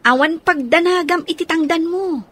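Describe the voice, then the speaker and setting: A woman acting a high-voiced Ilocano godmother. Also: normal speed, fairly bright tone. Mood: reassuring. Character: caring, take-charge godmother arranging a plan. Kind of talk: radio drama acting.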